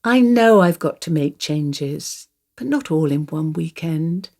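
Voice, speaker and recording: A woman's voice: deep voice, animated, slow pace, a little mellow, heavily acted. An older woman acting a radio play monologue as a wistful, wry grandmother confiding her thoughts. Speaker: actor reading a monologue. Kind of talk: radio play monologue, first-person storytelling.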